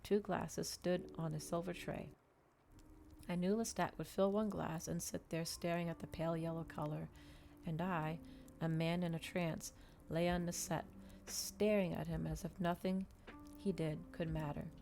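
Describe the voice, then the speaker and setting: Low-voiced woman reading a story aloud. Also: flat delivery, normal speed, creaky, a little mellow, slight background noise. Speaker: streamer reading a novel aloud. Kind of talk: dramatic ASMR audiobook reading.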